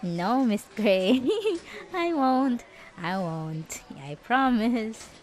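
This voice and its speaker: A woman's voice: a little high, expressive, extremely slow, a little mellow. A woman acting out a possessive, jealous schoolgirl bully in a roleplay audio drama, taunting and sarcastic with sugary false politeness. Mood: falsely sweet, flustered.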